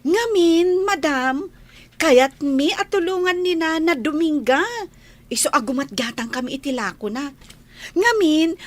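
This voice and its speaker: A woman playing a cheeky, stubborn housemaid with drawn-out, teasing delivery in an Ilocano radio comedy. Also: sits high. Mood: chatty.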